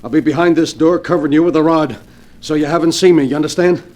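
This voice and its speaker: A man's voice: fairly high pitch, steady tone, fast talker, a little mellow, close to an everyday voice. A man playing a hard-boiled hunted crook. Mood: menacing. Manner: hard-boiled gangster talk. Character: tough hunted male crook, ruthless, power-hungry. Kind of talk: 1940s radio acting.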